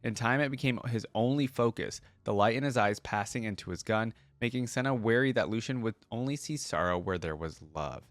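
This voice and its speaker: A man's voice: mid-range voice, a little fast, hardly any pauses, no echo. A man hosting a reaction video, talking informally as he comments and reads lore aloud.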